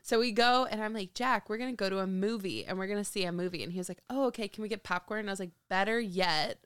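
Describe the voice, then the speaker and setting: A woman's voice: medium pitch, quick pace, fairly bright tone, smooth, dry recording. A woman in her twenties co-hosting a podcast, chatting casually with family, playful and teasing as she tells stories. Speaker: podcast co-host.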